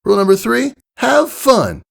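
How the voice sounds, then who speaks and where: A man's voice: very high-pitched, wildly swooping pitch, moderate pace, vocal fry, pitched above the performer's own voice, exaggerated voice. A man voicing a brash, confident female pegasus pony coach from a cartoon fan story, bossy but encouraging. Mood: bossy, instructive. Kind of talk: cartoon fan fiction character voice.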